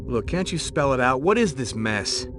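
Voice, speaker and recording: Animated, blunt private eye, played by a man. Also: medium pitch, moderate pace, lightly acted. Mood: demanding, exasperated. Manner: blunt, impatient, colloquial. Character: tough, wisecracking, exasperated male private investigator. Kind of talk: video game cutscene acting. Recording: game music behind.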